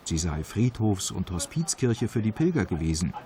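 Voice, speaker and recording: A man reading scripted travel documentary narration in a calm, measured, informative way. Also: lowish voice, slight background noise. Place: voice-over studio. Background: background music.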